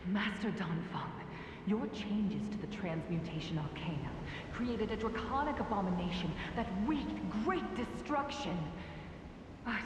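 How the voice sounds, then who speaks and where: Casting: actress for fervent draconic researcher, fantasy game, grandiose and deliberate, far from mic, noisy echoing space. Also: female voice, mid-range voice, animated, lightly acted. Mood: zealous awe. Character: fervent, scheming female draconic researcher, coldly reverent. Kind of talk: dramatic video game monologue. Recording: distant, very echoey hall with noise.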